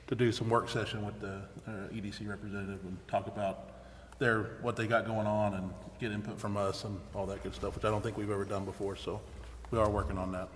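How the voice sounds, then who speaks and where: A man's voice: fairly low pitch, level tone, a little fast, hardly any pauses. A plain-spoken, folksy man informally chairing a council meeting. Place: city council chamber. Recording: noisy, reverberant meeting chamber.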